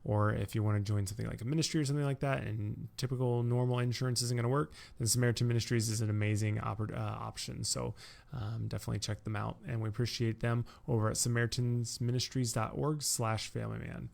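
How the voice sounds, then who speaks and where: A man with a mid-range voice and noticeable creak hosts a relaxed podcast conversation. He speaks informally, feeling his way through sentences in a halting manner. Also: medium pitch. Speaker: podcast host. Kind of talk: casual conversational interview.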